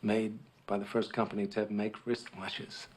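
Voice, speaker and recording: Low-voiced, solemn veteran captain played by an actor. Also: male voice, flat delivery, natural voice. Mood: earnest, reminiscing. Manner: slow, halting, earnest storytelling with long pauses. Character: solemn middle-aged war veteran captain addressing a small boy. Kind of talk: film drama monologue. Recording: noisy film soundtrack.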